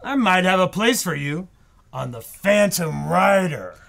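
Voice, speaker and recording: A man acting an excitable, theatrical 1940s radio producer in a hammy, emphatic comedy style. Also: high-pitched, measured pace, vocal fry, exaggerated voice. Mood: confiding, jovial. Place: live stage performance in a bookstore.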